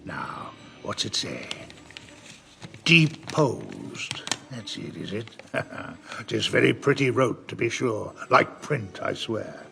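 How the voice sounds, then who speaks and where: An actor playing a wily, domineering middle-aged pirate captain with a gravelly voice, theatrical and full of rustic sailor talk, in an audio drama. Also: male voice, fairly low pitch, big pitch swings, takes it slowly, a bit bright, full character voice. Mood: mocking.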